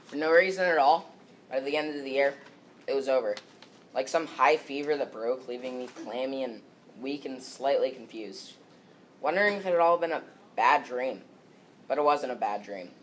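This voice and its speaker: A man's voice: medium pitch, normal speed. A male student performer reciting a scripted readers theater piece. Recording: faint background noise.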